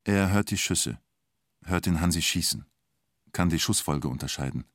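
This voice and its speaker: An actor as the storyteller of a documentary radio drama, narrating in short, punchy, colloquial present-tense phrases with a vivid manner. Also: male voice, deep voice, lively intonation, normal speed, no room sound, studio quality. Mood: vivid storytelling. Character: storyteller recounting a Munich bank siege.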